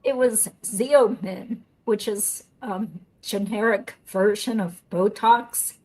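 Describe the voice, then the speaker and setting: A woman's voice: mid-range voice, takes it slowly, darkish tone, breathy edge, low-quality recording. A woman host, a lawyer, chatting casually in an upbeat way and explaining court matters. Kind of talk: casual chat and legal commentary.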